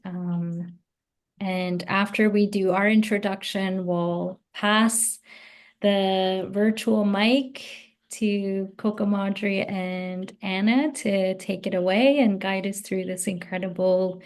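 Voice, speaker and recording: A woman from Afghanistan opens an online gathering as host, speaking at an unhurried pace. Her talk is thoughtful and full of soft pauses and ums, as heard over a video call. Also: medium pitch. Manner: slow, thoughtful, many ums. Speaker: host and circle coordinator. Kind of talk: online meeting introduction.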